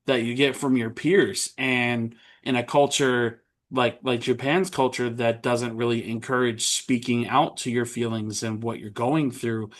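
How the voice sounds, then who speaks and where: A man with an ordinary middle-pitched voice hosts a relaxed interview. He rambles through long turns and restarts his questions partway through. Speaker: podcast host. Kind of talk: casual interview chat.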